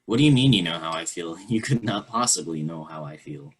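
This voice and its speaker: A performer reading a teenager's part in a staged play reading over a video call, earnest and quietly emotional. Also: male voice, deep voice, lively intonation, brisk, slightly rough. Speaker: actor reading a teen character in a play.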